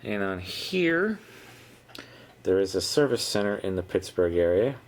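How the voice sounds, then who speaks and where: Male hobbyist presenter, low-pitched, halting and matter-of-fact, casual narration over noticeable background noise. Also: sing-song. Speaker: vintage electronics hobbyist presenter. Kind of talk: casual unscripted show-and-tell narration.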